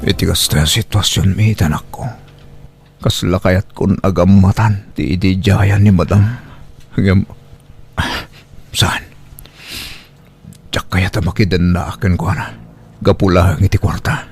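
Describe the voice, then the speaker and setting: An actor with an Ilocano accent playing a troubled, pleading single father in a radio drama, with halting delivery. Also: sits low, fairly even intonation, creaky, slightly rough, full character voice. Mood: torn, resolute. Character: troubled, pleading young single father, devoted to his child.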